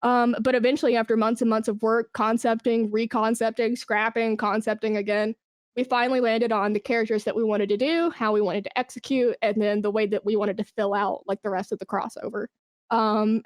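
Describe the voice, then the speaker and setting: A woman's voice: fairly high pitch, dry recording, clean studio sound. A woman chatting casually as an interview guest, enthusiastic and informal in the way she talks. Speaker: interview guest, esports brand manager.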